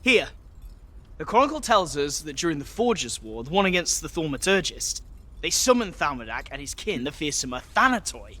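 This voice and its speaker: A young scholar companion in a fantasy game. His voice is high and lively, with an earnest, bookish manner and a nervous edge, over faint background noise. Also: moderate pace. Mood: earnest, explaining. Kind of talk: video game character acting.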